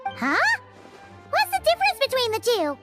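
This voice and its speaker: A woman voicing a tiny, childlike, squeaky fairy companion in an anime game, curious and excitable. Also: moderate pace, heavily acted. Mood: puzzled. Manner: speaks of herself in third person. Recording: loud music underneath.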